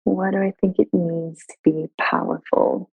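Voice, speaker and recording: Young woman from Sweden, low voice, guest; recorded dry with a dull, muffled sound. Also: level tone, creaky, husky, no echo, soft background music. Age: young adult. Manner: thoughtful, measured, with frequent "um" and "you know". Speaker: guest, brand director. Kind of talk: podcast interview.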